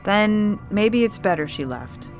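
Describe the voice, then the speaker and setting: A woman voicing a gentle, avoidant young man in an audio fiction story. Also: mid-range voice, lively intonation, slow pace, soft background music, voice pushed up. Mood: resigned, reassuring.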